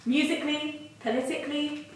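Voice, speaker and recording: A woman's voice: sits high, steady tone, normal speed, close to an everyday voice. A woman playing a brash, cheeky suffragette composer in a comic staged reading, with wry, swaggering banter. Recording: very echoey room, distant mic, noise.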